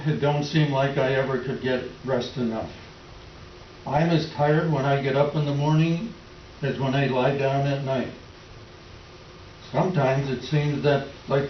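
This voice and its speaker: Echoey dull recording, man performing despairing Depression tenant farmer, rural phrasing, plaintive stage monologue, slow delivery. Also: medium pitch, steady tone, natural voice. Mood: weary, despairing. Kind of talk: readers theater dramatic monologue. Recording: distant mic, echoey room, faint noise, dull.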